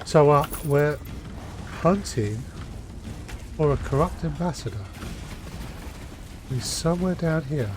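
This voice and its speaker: A man's voice: a little high, lively intonation, slow, long pauses. A man with a cold giving casual gameplay commentary as he live streams. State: has a cold. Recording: clear background noise, game sound.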